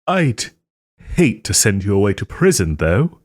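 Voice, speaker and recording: Man playing a haughty, wounded nobleman, dramatically expressive. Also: fairly low pitch, no echo, clean studio sound. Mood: measured, reluctant. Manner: theatrical, formal old-fashioned phrasing, hesitant pauses. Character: wronged young nobleman, haughty, wounded, still tenderly caring. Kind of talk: scripted romantic audio roleplay.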